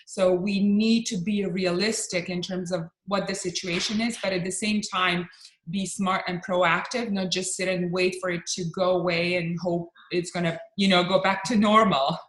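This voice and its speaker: A woman from Bosnia and Croatia, a real estate agent speaking as a guest in an interview, talking in an earnest, measured way and pausing to choose her words. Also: on the low side, fairly even intonation, a bit bright. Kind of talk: interview conversation. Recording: roomy echo.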